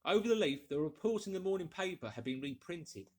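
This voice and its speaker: Male audiobook narrator: expressive, wide-ranging intonation, husky edge, dramatic scripted storytelling. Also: high voice, fairly quick.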